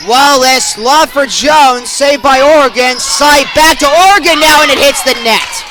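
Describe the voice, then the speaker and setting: A young man calling live volleyball play-by-play, excitable and projecting over the action. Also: very high voice, unhurried. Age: young adult. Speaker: play-by-play sports announcer. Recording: crowd and gym noise behind.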